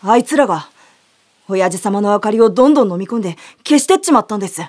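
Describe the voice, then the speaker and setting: A woman's voice: mid-range voice, lively intonation, fast, a little mellow, close to an everyday voice. A woman playing an earnest, dutiful young girl who blames herself, in an audio drama. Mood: worried. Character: young girl, dutiful, anxious, self-blaming lantern bearer. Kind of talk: Japanese audio drama acting.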